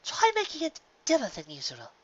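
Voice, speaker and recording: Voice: sits high, huge pitch swings, brisk, dull audio, voice pushed up, heavily acted. A reader voicing a refined, dramatic and caring female unicorn in a fan fiction story. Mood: cautious.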